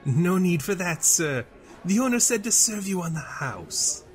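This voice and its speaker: A man's voice: sits high, very animated, unhurried, faint music behind, pitched above the performer's own voice. A man voicing a polite, deferential and nervous male tavern server in an audiobook story. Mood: obliging. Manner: polite, servile. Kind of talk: audiobook character dialogue.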